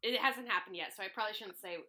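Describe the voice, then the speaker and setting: A woman's voice: mid-range voice, very fast, pushed and bright. A woman chatting casually about a film, enthusiastic and giggly. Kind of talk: casual film commentary chat.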